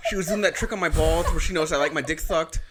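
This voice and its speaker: A man's voice: on the high side, fast, noisy background. A man co-hosting a comedy podcast, riffing and joking with crude humor. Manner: joking, riffing, laughs often. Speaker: podcast co-host.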